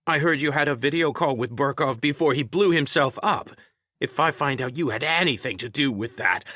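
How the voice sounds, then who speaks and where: A man's voice: medium pitch, sing-song, unhurried, pushed and bright, no echo, clean studio sound, voice pushed up, heavily acted. A man voicing a tough, assertive woman ship commander in an audiobook story. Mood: defiant anger. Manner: heated, assertive.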